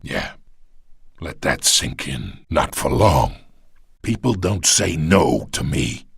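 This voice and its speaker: An actor playing a menacing, condescending older crime boss with a husky voice in a video game. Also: male voice, rumbling low, sing-song, dry recording, studio quality, full character voice. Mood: smug menace. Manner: condescending, threatening, unhurried menace. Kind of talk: video game dramatic acting.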